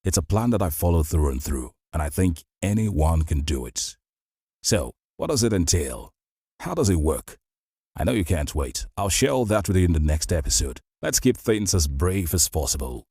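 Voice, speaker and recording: A man with a Nigerian accent and a husky voice, giving a conversational, motivational advice monologue to listeners as a show host. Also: deep voice, sing-song, normal speed, mellow, no room sound. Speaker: voice-over artist hosting a show.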